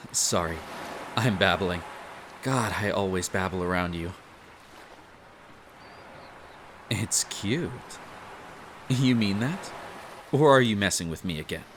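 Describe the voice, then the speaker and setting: A man acts out a young male barista with a crush, speaking at an unhurried, slow pace with plenty of melodic swing. He is teasing and bashful, tends to ramble, and looks after the listener in an intimate roleplay, with beach ambience audible behind him. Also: low-pitched, lively intonation, fairly bright tone, a little hiss or hum. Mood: bashful, fond. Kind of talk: intimate roleplay audio acting.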